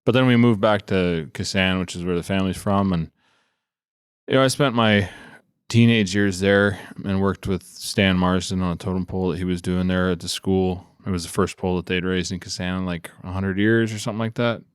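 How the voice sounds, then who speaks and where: A man's voice: low-pitched, steady tone, a little fast, smooth, dry recording, studio quality. A man in his thirties from coastal Alaska, telling his story casually and informally. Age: 30s. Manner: informal, frequent "like" and "you know".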